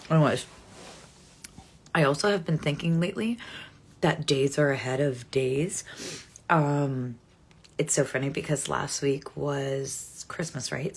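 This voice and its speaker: A woman's voice: deep voice, vocal fry, breathy edge. A woman in her forties chatting casually with viewers on a live stream, rambling and correcting herself with frequent ums. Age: 40s. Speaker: livestream host.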